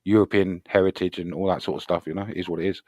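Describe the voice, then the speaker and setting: A man's voice: sits low, fairly even intonation, very fast, darkish tone, no room sound. A man chatting casually on a late-night stream, laid-back, swearing and laughing often. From England. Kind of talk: casual chat.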